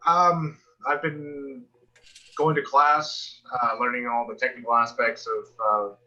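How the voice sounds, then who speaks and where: A young man with a very bright, tense voice talks slowly and haltingly. The video-call audio is slightly dull. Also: mid-range voice, level tone. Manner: halting, pausing between phrases. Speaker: theater student. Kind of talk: casual chat.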